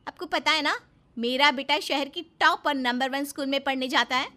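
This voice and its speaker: Woman acting a posh snobby mom from a cartoon, high bright voice, talks down to everyone. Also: moderate pace. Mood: haughty scorn. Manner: condescending, sprinkles English phrases into her talk. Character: snobbish, haughty young mother, vain and scornful. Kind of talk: Hindi cartoon story acting.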